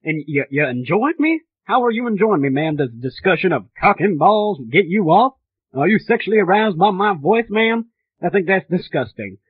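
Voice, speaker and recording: Man acting indignant clueless father, tinny phone line, husky, rambling, stammering, repetitive, easily offended, prank-call comedy. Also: high-pitched, big pitch swings, moderate pace, voice pushed up, exaggerated voice. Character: indignant, clueless father calling game support to complain.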